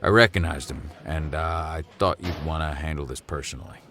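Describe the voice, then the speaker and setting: A man's voice: rumbling low, brisk, fairly bright tone, faint music behind. A man playing a deferential police sergeant in a radio drama, speaking hesitantly. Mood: uneasy, dutiful. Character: deferential male small-town police sergeant.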